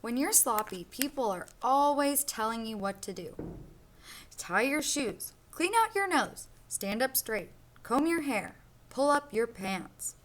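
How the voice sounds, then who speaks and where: A sloppy, cheerful young storybook boy voiced by a woman reading aloud tells his own tale. The voice sits fairly high, with expressive emphasis and childlike boasting. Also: a little slow, slight background noise. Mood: proud, unbothered.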